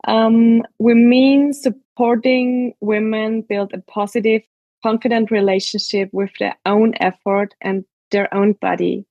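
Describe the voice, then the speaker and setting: A woman's voice: on the high side, steady tone, unhurried, dry recording, narrow, tinny audio. A woman with an Austrian accent, a native German speaker, explaining things carefully as a podcast guest. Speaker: podcast guest, sports scientist. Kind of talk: careful explanatory interview talk.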